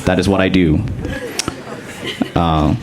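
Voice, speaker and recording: A young man from Boston with a deep voice, doing a casual stand-up comedy set with lots of fillers. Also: steady tone, slow pace, crowd noise. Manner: casual, conversational delivery with frequent fillers. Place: comedy club stage. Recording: comedy club with clear audience noise.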